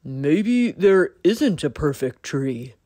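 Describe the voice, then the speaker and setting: A woman voicing a gentle, thoughtful deer who asks questions in a storybook. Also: low voice, very animated, takes it slowly, dry recording, clean studio sound, exaggerated voice. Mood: questioning.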